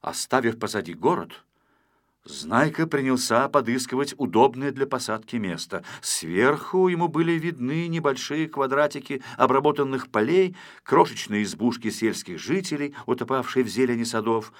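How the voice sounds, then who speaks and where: A man narrating a children's story from a script in an expressive style. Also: medium pitch, moderate pace. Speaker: audiobook narrator.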